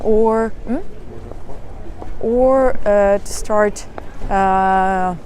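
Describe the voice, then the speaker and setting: A woman's voice: medium pitch, extremely slow, a little mellow, a little hiss or hum. A young woman, giving dating advice in a live talk and answering questions, hesitant and full of fillers. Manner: hesitant, many fillers and restarts.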